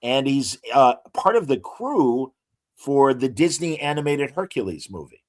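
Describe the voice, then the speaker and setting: A man co-hosting a relaxed podcast with a mid-range voice. He works through actor credits with many hesitant pauses. He breaks off now and then into showman asides to the listeners. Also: mid-range voice. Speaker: podcast co-host. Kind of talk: casual podcast chat.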